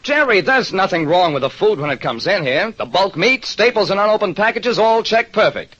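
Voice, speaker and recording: A man's voice: sits high, big pitch swings, brisk, fairly bright tone, pitched above the performer's own voice, heavily acted. A man voicing a matter-of-fact health inspector in a 1940s radio drama. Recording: dull, noisy old radio recording.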